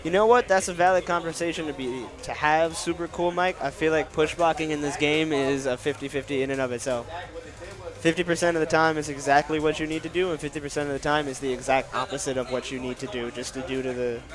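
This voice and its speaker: Nasal young-sounding male commentator. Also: steady tone. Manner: deliberately speaking lower than usual; casual, reads out and answers viewer questions. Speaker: stream commentator. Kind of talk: casual stream commentary reading chat.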